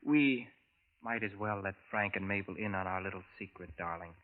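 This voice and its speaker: A man's voice: sits low, brisk, phone-quality sound, natural voice. Male actor as formal time traveler, man, guarded, precise, 1940s radio drama, thin vintage recording. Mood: solemn confiding. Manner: careful, formal, precise, few contractions. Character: polite, secretive man visiting from the future.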